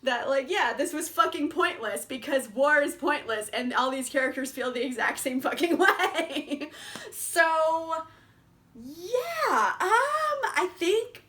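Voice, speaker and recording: A woman's voice: high-pitched, lively intonation, takes it slowly, bright, forceful tone. A young woman casually reviewing books in a chatty vlog, laughing often and swearing freely. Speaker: book reviewer.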